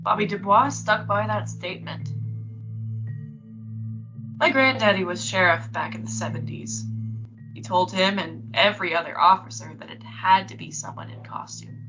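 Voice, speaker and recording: A woman's voice: mid-range voice, moderate pace, lightly acted. A woman playing a knowing, folksy small-town local telling a matter-of-fact story in an audio horror drama. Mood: wry, matter-of-fact. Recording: slightly dull, lo-fi audio. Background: music.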